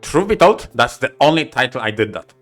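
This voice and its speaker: A man co-hosting a podcast casually, speaking in halting, emphatic short phrases. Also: medium pitch, sing-song, fairly bright tone, faint music behind. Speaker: podcast co-host.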